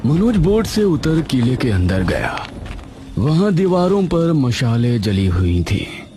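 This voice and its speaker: Guy narrating a dramatic story, unhurried, lots of rise and fall, music clearly behind him. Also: mid-range voice, very animated, mellow.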